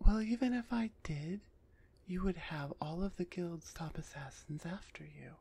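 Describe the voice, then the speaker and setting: A woman voicing a gruff female minotaur executioner in an ASMR roleplay, husky and often half-whispered, impatient and sardonic with her captive. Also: on the low side, animated, normal speed, warm tone, heavily acted. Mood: grudging respect.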